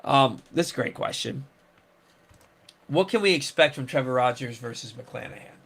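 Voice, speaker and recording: A man's voice: medium pitch, expressive, normal speed, vocal fry, fairly bright tone. A man hosting a casual sports talk show, rambling with many restarts and fillers. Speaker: sports podcast host.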